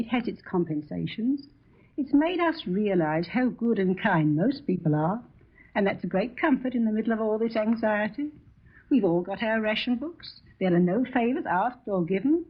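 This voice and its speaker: A middle-aged woman with a British accent and a husky voice giving a scripted personal talk in 1940s radio style. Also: medium pitch. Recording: dull lo-fi 1940 radio recording.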